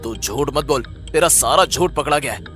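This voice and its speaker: A man's voice: medium pitch, animated, moderate pace, fairly bright tone, soft background music, natural voice. A man voicing a stern, angry village headman in a cartoon story, commanding and accusing. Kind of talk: Hindi cartoon story acting.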